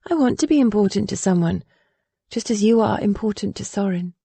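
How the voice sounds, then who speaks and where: A woman's voice: medium pitch, expressive, a little fast, mellow, husky, no room sound. Woman voicing a spirited young noblewoman. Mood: earnest. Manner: emphatic, rising and falling sharply.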